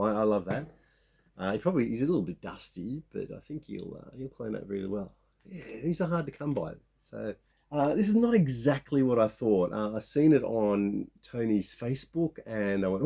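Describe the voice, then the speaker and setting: A man in his fifties with a croaky sore-throat voice, rambling casually as he shows off his toy finds. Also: on the low side, warm tone. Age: 50s. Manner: rambling, many restarts and fillers. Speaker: toy collecting vlogger. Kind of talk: casual show-and-tell of toy haul.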